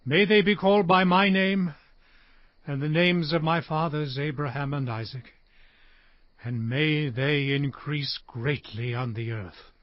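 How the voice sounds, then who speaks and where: An actor playing a frail aged Hebrew patriarch in a dramatized scripture reading, solemnly and deliberately pronouncing blessings and judgments. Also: male voice, on the high side, no room sound, close to an everyday voice. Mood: tender, solemn. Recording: muffled lo-fi audio under music.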